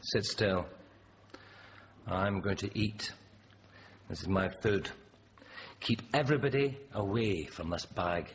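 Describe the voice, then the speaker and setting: An actor playing a menacing, controlled hostage-taker in a radio drama, giving terse commands. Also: male voice, fairly low pitch, slow, in a large hall. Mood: commanding. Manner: terse, commanding, flat orders. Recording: dull, noisy radio sound.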